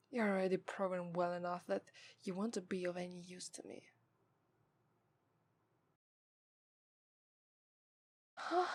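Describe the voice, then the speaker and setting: A woman voicing a haughty, scornful, seductive demon in an ASMR roleplay, with an Italian accent and formal, deliberate delivery that dips into breathy whispers. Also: medium pitch, dry recording, natural voice. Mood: irritated, commanding.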